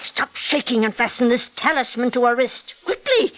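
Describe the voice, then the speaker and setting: An actress playing a defiant, secretive old village healer in a 1940s radio drama, with theatrical, archaic speech. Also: female voice, on the high side, expressive, normal speed, fairly bright tone. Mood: urgent, commanding. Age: older.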